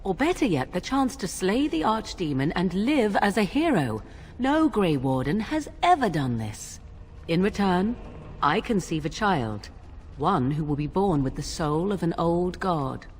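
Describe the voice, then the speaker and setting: An actress playing an aloof, secretive young witch in a fantasy game, speaking in formal, archaic, deliberate phrases. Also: fairly low pitch, very animated, a little hiss or hum. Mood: insistent, guarded. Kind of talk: dramatic fantasy game dialogue.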